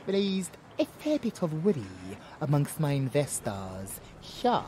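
Flamboyant vampire lord, wildly swooping voice. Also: male voice, fairly high pitch, wildly swooping pitch, takes it slowly, darkish tone, a little hiss or hum, heavily acted. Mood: smug, genteel. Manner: theatrical, purring, condescending. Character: flamboyant male vampire lord, genteel, smug, menacing club owner. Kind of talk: audio drama acting.

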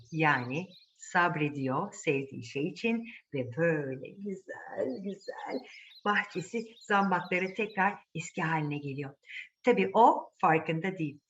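Woman storyteller with music behind. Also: fairly low pitch. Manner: conversational, asks listeners questions. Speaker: children's storyteller. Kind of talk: animated storytelling addressed to children. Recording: muffled audio with music behind.

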